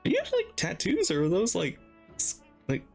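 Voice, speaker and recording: A woman's voice: on the low side, huge pitch swings, normal speed, creaky, a little mellow, faint music behind. A woman streamer giving chatty, playful, casual live reaction commentary while playing a game.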